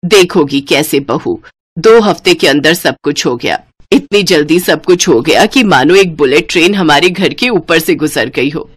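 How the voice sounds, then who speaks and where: A homely middle-aged mother-in-law, played by a female narrator, pitched low for a cartoon tale. Her delivery is measured and motherly, that of an old-fashioned woman of the house. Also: animated, breathy edge, full character voice. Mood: matter-of-fact.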